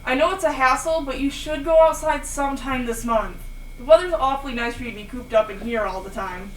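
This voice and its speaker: A woman's voice: sits high, fairly quick, close to an everyday voice. A woman playing a caring, nostalgic mother in an amateur audio drama, recorded at a distance in a room. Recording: distant, roomy, faint background noise.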